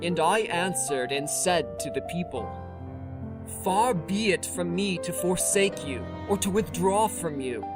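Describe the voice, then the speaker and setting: A narrator reading a scripture audiobook in a solemn, measured, formal manner. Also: mid-range voice, expressive. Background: music.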